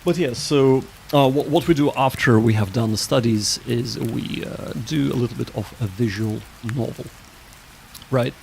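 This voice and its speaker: A man with a creaky, gravelly voice at a middling pitch hosts a casual livestream. He talks to viewers in a halting, meandering way, restarting his sentences. A faint rain-like ambience runs behind his voice. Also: a little mellow, a little hiss or hum. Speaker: livestream host.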